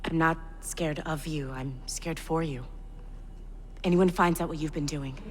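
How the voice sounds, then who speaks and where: A nervous young accomplice. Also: female voice, low voice, steady tone, measured pace, big-room sound, noisy background. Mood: worried. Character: anxious young woman accomplice, sharp and nervous. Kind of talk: video game cutscene acting.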